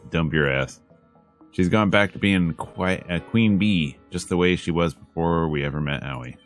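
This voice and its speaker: A man's voice: low voice, takes it slowly, pauses often. A man reading a visual novel aloud as a streamer, dramatic in delivery with wry, joking asides, over game music. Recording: music behind the voice.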